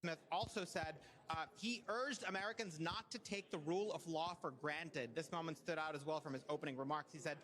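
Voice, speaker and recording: Fast-talking man political reporter. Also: fairly high pitch, fairly bright tone. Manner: brisk, with filler uhs. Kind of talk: live news report.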